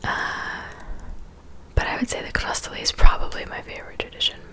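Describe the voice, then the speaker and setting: A woman in her twenties speaking in a soft, breathy whisper, chatting casually and unhurriedly as an ASMR creator answering viewers. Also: a little fast. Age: 20s.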